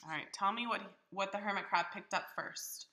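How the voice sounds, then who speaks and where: A woman reading a picture book aloud to young children in an expressive, deliberate, teacherly way. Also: mid-range voice. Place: indoors in a hallway of a home. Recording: echoey room in a house.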